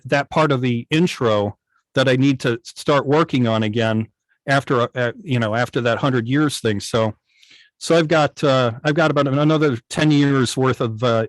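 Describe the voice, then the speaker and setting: A man's voice: mid-range voice, no room sound, poor audio. A man explaining technical things casually on a video call, hesitant and often repeating his words. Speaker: guest, radio station operator and programmer. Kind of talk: casual technical explanation in an online club meeting. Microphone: video call microphone.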